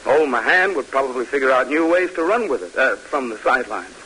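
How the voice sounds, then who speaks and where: An actor playing an eager, respectful young cadet son in a 1950s radio drama, speaking earnestly and deferentially. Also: male voice, medium pitch, animated, a little fast, bright, forceful tone, rough recording. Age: young adult. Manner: deferential, hesitant openings, earnest. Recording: hissy vintage radio recording.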